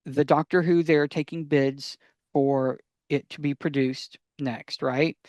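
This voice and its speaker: A man's voice: fairly high pitch, steady tone, takes it slowly, darkish tone, no room sound. A man chatting casually as a podcast guest, making emphatic points in short, restated phrases. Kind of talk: casual chat.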